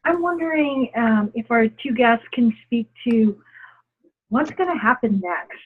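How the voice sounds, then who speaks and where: Female caller, slightly high husky voice, tentative questioner, thin phone-quality audio. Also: expressive, unhurried. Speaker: audience member asking a question. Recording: muffled, narrow phone-like line.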